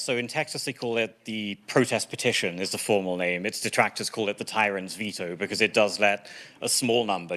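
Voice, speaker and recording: A man's voice: on the low side, pushed and bright. A man from England with an English accent, giving articulate, explanatory testimony to a state legislative committee with a touch of self-deprecating humor. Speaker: policy witness. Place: state committee hearing room.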